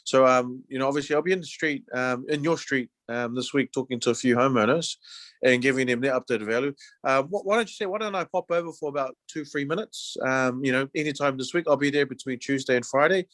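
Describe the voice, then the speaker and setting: A man with a New Zealand accent speaks as a real estate agent in a relaxed, conversational way. His phrasing is friendly and loose, full of small verbal padding. The sound is dry, with very little room around it. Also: medium pitch, fast talker, dry recording. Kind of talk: casual, conversational sales talk.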